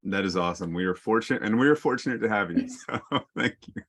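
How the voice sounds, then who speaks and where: Guy with a lowish voice hosting a video call panel, thanking guests. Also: quick pace, a bit bright. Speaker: moderator.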